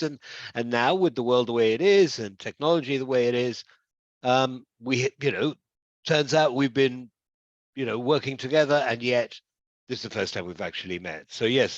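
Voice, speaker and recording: A man's voice: mid-range voice, no echo. Middle-aged man, tutor-host, friendly rambling delivery, dry dull call audio. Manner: hesitant and warm, lots of restarts and fillers. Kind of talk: casual interview chat. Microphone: video call.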